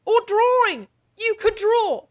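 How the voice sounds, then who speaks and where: An actress playing a defiant young noblewoman who challenges a prince with sharp retorts in a fantasy audio drama. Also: very high voice, big pitch swings, slow pace, heavily acted. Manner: sharp, challenging, flustered when caught.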